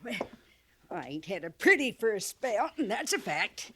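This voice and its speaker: A woman's voice: medium pitch, big pitch swings, moderate pace, bright, forceful tone, a little hiss or hum. A woman playing a sly, grasping old frontier trader widow with a folksy rural Western American drawl in a 1950s radio western. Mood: stubborn, menacing. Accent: American rural Western dialect. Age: older. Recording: vintage 1950s radio recording.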